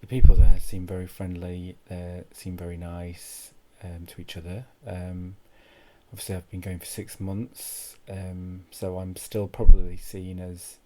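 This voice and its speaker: A man telling a comic first-person story in an awkward, deadpan way, halting and repeating his phrases. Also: low-pitched, poor audio. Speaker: comic monologue host.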